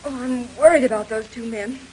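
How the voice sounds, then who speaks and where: Actress as a high, fretful, frightened wife. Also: female voice, a little fast, darkish tone, close to an everyday voice. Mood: worried. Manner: plaintive, fretful. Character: anxious, fretful injured wife, fearful for her husband. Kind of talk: melodramatic 1930s radio acting. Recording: noisy vintage radio transcription.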